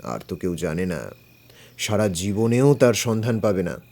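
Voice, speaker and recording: A man playing a calm, shrewd, fearless older detective in an audio story, composed and reassuring. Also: on the low side, normal speed. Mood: calm, firm. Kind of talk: Bengali audio story acting.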